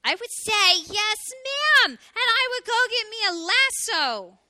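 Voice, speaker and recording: An adoring little boy hedgehog from a children's storybook, played by a woman, bursting out with emphatic promises. The voice is very high and piercingly bright, jumping up with childlike eagerness to obey. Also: big pitch swings, slow, no room sound, clean studio sound, heavily acted. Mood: eager, adoring. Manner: exuberant, emphatic, childlike declarations. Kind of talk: children's storybook read aloud.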